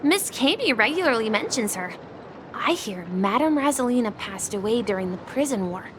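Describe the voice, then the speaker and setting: Woman playing a gentle, high, lilting companion. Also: lively intonation, moderate pace, noisy background, lightly acted. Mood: wistful. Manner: soft-hearted, polite, reassuring. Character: gentle, kindhearted young female companion. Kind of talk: video game voice acting.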